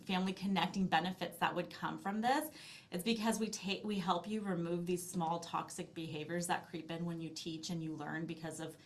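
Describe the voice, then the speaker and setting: A middle-aged woman speaking as a podcast interview guest, explaining in an earnest, conversational way and often restarting her phrases. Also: lowish voice. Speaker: interview guest, language learning company founder.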